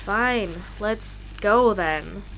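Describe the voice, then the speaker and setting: A woman's voice: mid-range voice, extremely slow, fairly bright tone, slight background noise, low-quality recording. A woman playing a wary, sceptical young female musician in an audio drama, with a dry, cautious manner. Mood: reluctant.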